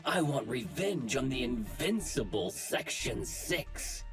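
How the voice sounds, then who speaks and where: Gravelly, gloating AI villain. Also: male voice, mid-range voice, sing-song, faint music behind. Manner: slow, menacing, taunting with drawn-out threats. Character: gloating vengeful male AI villain inside a virtual system. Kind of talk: game cutscene voice acting. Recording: game soundtrack faintly behind.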